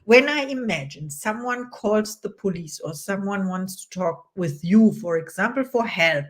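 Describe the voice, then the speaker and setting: A woman's voice: medium pitch, expressive, normal speed. A woman who speaks English as a second language, hosting an interview in a thoughtful, word-searching way. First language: not English. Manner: thoughtful, searching for words, light laughs. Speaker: interview host. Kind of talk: conversational interview.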